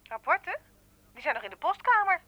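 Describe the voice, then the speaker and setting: A woman's voice: very high-pitched, sing-song, a little fast, sharp tone, muffled recording, heavily acted. An actress playing a flustered, indignant female office secretary in a radio play, startled and hesitant.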